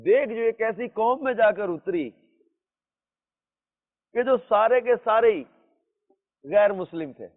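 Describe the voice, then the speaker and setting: High-pitched man, religious storyteller, emphatic and repetitive, muffled reverberant recording. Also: animated, long pauses. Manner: emphatic, repeats phrases, poses rhetorical questions. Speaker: religious teacher telling moral stories. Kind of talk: religious storytelling lesson to listeners. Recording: muffled lo-fi audio in reverberant hall.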